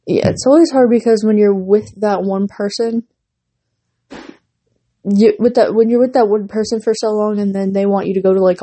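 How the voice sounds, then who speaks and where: Husky, losing-voice young woman podcaster. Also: medium pitch, warm tone. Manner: rambling, lots of fillers and restarts. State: losing her voice, runny nose. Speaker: podcast host. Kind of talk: casual solo podcast chat.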